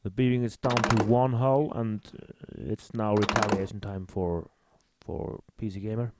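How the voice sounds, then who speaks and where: A man's voice: lowish voice, steady tone, a little slow, heavy background noise. A man with an Icelandic accent giving match commentary on a tabletop miniatures game.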